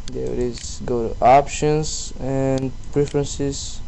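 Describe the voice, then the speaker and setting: A man presenting a casual screen-recorded software tutorial in a halting, self-deprecating way. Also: mid-range voice, gravelly, rough recording. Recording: steady background noise on voice-over.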